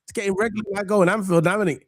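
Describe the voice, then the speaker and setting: A man from England with a croaky voice from a cold, hosting a casual football chat and rambling warmly to viewers. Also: high voice, steady tone, very fast, no room sound, clean studio sound. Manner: chatty, rambling, gives shout-outs to viewers. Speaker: football podcast host.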